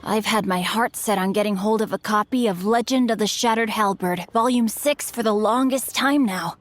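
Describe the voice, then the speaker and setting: A woman's voice: medium pitch, normal speed, faint music behind, lightly acted. A woman voicing a courteous, bookish young swordsman with formal, eloquent speech in a video game. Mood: courteous, eager. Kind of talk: scripted game dialogue.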